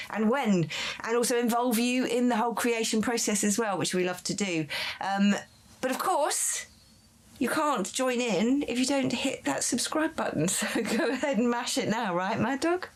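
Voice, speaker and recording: A woman's voice: mid-range voice, slight background noise. A middle-aged woman hosting a pet show in a chatty, upbeat way, a little tired. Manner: chatty, upbeat, laughs easily. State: tired after a vaccination. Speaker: show host and ferret keeper. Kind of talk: casual presenting to camera.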